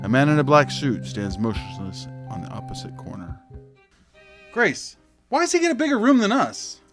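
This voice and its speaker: A man's voice: fairly high pitch, big pitch swings, normal speed, soft background music. A man playing an earnest, literal-minded detective dad who acts and narrates in an audio comedy. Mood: matter-of-fact. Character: wisecracking, easily confused detective dad.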